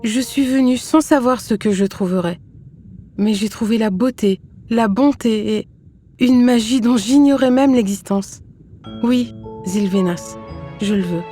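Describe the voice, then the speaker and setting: A woman's voice: a little high, a little slow, warm tone, faint music behind, lightly acted. A woman voicing a brave, dreamy young maiden in a fairy-tale cartoon, speaking with earnest wonder.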